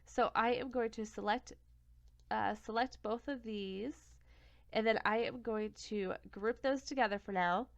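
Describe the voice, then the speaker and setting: A young woman with a blocked-up, congested voice explains craft software one click at a time. Her tone sits fairly high and smooth, and she is recorded close and dry. The home recording sounds a little muffled and lo-fi. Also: a little slow, no room sound. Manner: step-by-step, self-correcting, plain and friendly. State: congested from a cold. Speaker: craft tutorial host. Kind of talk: screen-recorded software tutorial.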